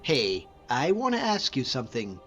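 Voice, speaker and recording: A man's voice: medium pitch, wildly swooping pitch, moderate pace, bright, forceful tone, long reverb tail, soft background music. A man voicing a young, earnest, devout Nordic hunter, a loyal companion in a video game, talking in an informal, conversational way. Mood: friendly, earnest. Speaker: video game follower character. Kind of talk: conversational game character voice acting.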